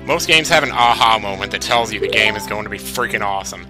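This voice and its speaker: A man's voice: fairly low pitch, fast talker. A man hosting a video game countdown with scripted narration, conversational and slangy, with wry asides. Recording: music behind the voice. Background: music.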